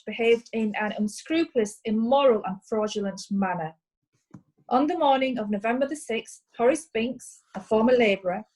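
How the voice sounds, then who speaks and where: A woman's voice: medium pitch, a little mellow, lightly acted, rough recording. A woman playing a stern, indignant prosecuting lawyer in a radio play, formal and rhetorical. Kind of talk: radio play courtroom speech.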